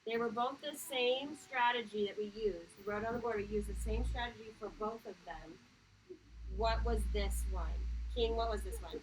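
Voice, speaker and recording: A woman's voice: a little high, normal speed, a little hiss or hum, poor audio. A woman elementary teacher leading a read-aloud reading lesson for children, encouraging and emphatic, with plenty of questions.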